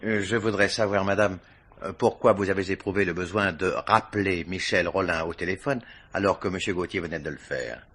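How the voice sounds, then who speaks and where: An actor playing a sly, persistent police commissioner in a vintage French radio mystery, with a hesitant, wry, probing manner. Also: male voice, deep voice, a little fast, a bit bright, breathy edge. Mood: insistent.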